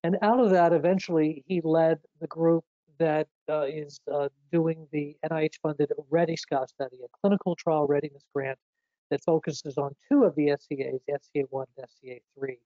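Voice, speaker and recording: A man moderating a webinar, introducing the speakers in an earnest, measured way with some fillers. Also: on the high side, mellow, no room sound. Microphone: video call. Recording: muffled dry webinar audio.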